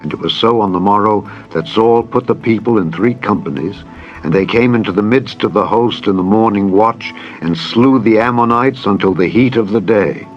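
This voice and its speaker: Deep-voiced man solemnly narrating scripture. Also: normal speed. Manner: solemn, declamatory. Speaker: narrator of an audio Bible. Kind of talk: dramatized scripture reading, formal scripted narration. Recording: dull band-limited audio with music. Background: background music.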